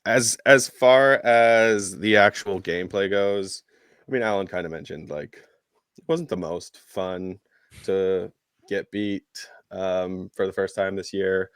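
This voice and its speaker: A man's voice: sits low, takes it slowly, a bit bright, clean tone, no room sound, clean studio sound. A man co-hosting a podcast, recapping sport in a casual, rambling and hesitant way. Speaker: podcast co-host.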